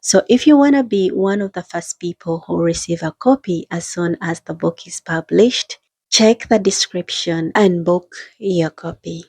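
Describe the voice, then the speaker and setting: A woman's voice: on the low side, measured pace, dark tone, slightly rough, no echo. A woman podcast host speaking directly to listeners in a promotional announcement.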